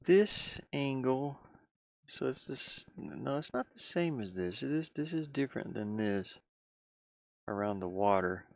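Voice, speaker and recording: A man chatting casually like a streamer, thinking aloud in a halting, rambling way. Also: medium pitch, big pitch swings, vocal fry, a bit bright, no echo, clean studio sound. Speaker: streamer and hobby game designer. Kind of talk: casual rambling livestream chat.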